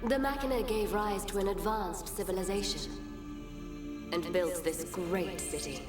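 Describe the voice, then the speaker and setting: A woman's voice: lowish voice, natural voice. Woman as echoing, solemn ancient goddess. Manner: measured, formal, grave storytelling. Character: serene, solemn ancient goddess, soul of a world. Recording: echoey, distant, under prominent music.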